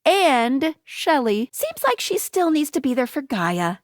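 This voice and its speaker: A woman playing a perky, playful, helpful female guide character in a video game, delivering animated, punning scripted lines. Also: high-pitched, measured pace, no echo, clean studio sound. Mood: playful, informative. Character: perky, quippy, helpful female guide to dateable household objects.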